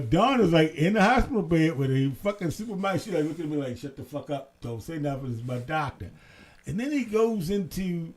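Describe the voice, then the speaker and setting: Animated, swearing male talk-show host. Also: fairly high pitch. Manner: animated storyteller, swears freely. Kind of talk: casual late-night chat.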